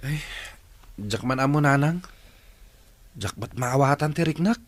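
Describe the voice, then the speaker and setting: A man's voice: mid-range voice, expressive, a little slow, slight background noise, close to an everyday voice. An actor playing an earnest, plaintive man in an Ilocano radio drama. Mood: troubled, confused.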